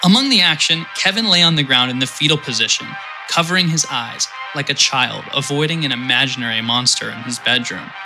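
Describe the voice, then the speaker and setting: A man's voice: mid-range voice, a little fast, few pauses, over music, rough recording. A man narrating an audio drama in vivid storytelling style with comic asides.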